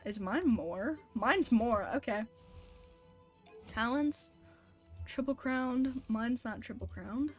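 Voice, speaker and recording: Casting: female game streamer, higher pitch, casual and reactive, mild swearing, game music behind her. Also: animated, slow, pauses often. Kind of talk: casual live gameplay commentary.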